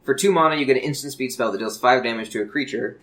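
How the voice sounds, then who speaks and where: A man's voice: mid-range voice, fast talker, a bit bright. A man in a casual podcast chat about a card game, speaking as an enthusiastic, jokey co-host.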